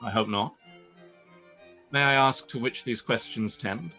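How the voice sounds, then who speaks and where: An actor playing a proud, reserved, guarded gentleman in a period radio-play reading, speaking in a stiff, formal, restrained way. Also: medium pitch, a little slow, breathy edge, soft background music, full character voice. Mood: cool.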